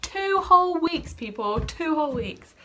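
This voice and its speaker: A woman's voice: high voice, very animated, a little slow, sharp tone. A British woman in her twenties chatting casually to her phone camera, congested and a bit out of breath from a cold. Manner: chatty, lots of ums. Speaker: vlogger. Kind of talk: casual vlog chat. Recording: phone recording with light background noise.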